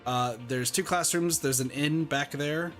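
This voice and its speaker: A man's voice: on the high side, unhurried, fairly bright tone, faint music behind. A man hosting a casual gameplay session, explaining things to his friends as he plays. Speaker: host playing a visual novel with friends.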